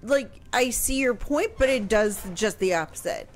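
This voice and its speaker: A woman's voice: a little high, animated, moderate pace. An American woman in her thirties co-hosting a casual radio discussion, close on a studio mic. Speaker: radio show co-host. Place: room in a home, indoors. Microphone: studio mic on boom arm with headset.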